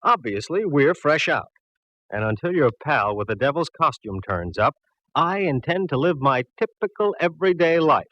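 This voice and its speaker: An actor playing a dry, dismissive, sarcastic police inspector in a 1940s radio drama. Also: male voice, a little high, big pitch swings, normal speed, fairly bright tone, no echo, dull audio. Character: gruff, sardonic male police inspector, unbothered and teasing.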